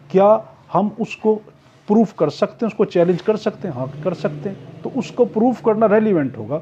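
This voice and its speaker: A man's voice: high-pitched, warm tone, slightly rough. A man with a Pakistani accent lecturing as an exam tutor, repeating key points and posing questions that he then answers. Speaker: teacher, law exam tutor. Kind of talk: explanatory lecture for exam preparation. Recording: dull, lo-fi audio.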